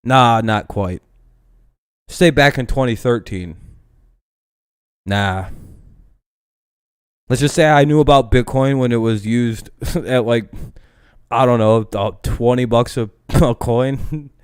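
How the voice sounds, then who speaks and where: Smooth-voiced young man chatting on stream. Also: medium pitch, slow pace, long pauses, dry recording, clean studio sound. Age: 20s. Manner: rambling, profane, self-interrupting. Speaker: livestream host. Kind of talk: casual livestream chat.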